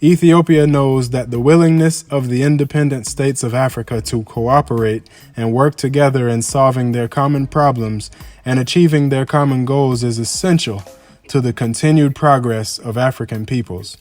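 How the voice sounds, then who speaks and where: A man reads a formal address aloud in a solemn, measured, oratorical manner. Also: medium pitch, fairly even intonation, darkish tone. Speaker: reader of a historical speech. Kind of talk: formal speech read aloud. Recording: music under the voice. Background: background music.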